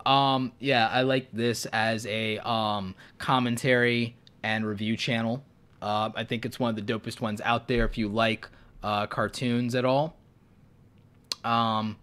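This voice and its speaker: A man's voice: mid-range voice, fairly bright tone. A man hosting a live stream, sick and losing his voice, giving frank advice while thinking aloud with lots of ums. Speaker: YouTube creator hosting live Q&A and channel reviews.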